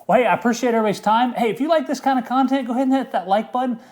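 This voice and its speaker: A man's voice: very high-pitched, racing, darkish tone, a little hiss or hum. A middle-aged man hosting a casual outdoor gear review in an informal, enthusiastic way. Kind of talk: casual product review vlog.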